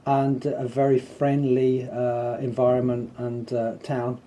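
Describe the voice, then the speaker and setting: A man speaking in an easygoing radio interview. His voice is very dark and warm, and it sits at an average pitch. He talks loosely and warmly about his teaching and gets livelier when making a point. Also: completely flat delivery, slow pace. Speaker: English teacher and school founder. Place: radio studio. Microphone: studio microphone.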